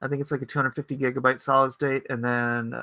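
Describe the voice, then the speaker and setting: Man in his forties, casual livestream host, rambling, fillers and restarts, average pitch, dry close sound. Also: flat delivery, fast, sharp tone, no echo. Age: 40s. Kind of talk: casual livestream chat reading comments. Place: home computer setup.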